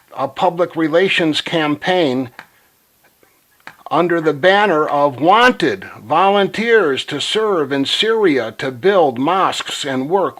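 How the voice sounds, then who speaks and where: A man making a phone call to pitch his ideas, speaking earnestly and insistently as if reciting slogans. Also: fairly bright tone. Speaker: caller.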